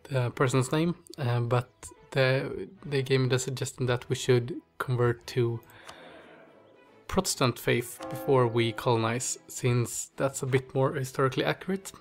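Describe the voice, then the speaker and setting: A man's voice: mid-range voice, fairly even intonation, measured pace, soft background music. A man with a stuffy nose and a slightly strained voice, hosting casual strategy game commentary, thinking aloud with many fillers. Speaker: gaming channel host.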